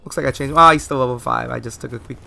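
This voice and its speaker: A man's voice: medium pitch, racing, gravelly, sharp tone. A man giving post-recorded commentary over gameplay, chatty and rambling, often asking viewers questions. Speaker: let's play host.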